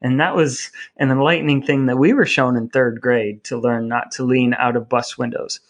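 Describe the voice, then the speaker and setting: A man's voice: medium pitch, fairly even intonation, normal speed. Male co-host, thirties to forties, mid-range voice, wry and rambling, casual conversational storytelling. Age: 30s or 40s. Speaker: podcast co-host. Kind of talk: casual bantering chat.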